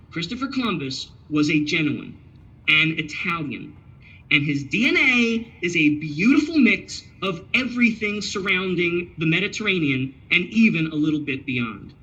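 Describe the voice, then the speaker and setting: A man's voice: sits high, pushed and bright, slightly rough, muffled recording. A man giving a scripted history lecture, emphatic and sprinkling in Italian words.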